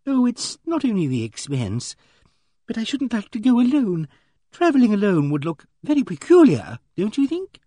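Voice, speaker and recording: A man's voice: sits high, wildly swooping pitch, normal speed, mellow, dry recording, voice pushed up, exaggerated voice. A man voicing a timid, fussy elderly spinster lady with a genteel, fluttering, reproachful manner, in an audiobook. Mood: anxious. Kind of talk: audiobook character voice.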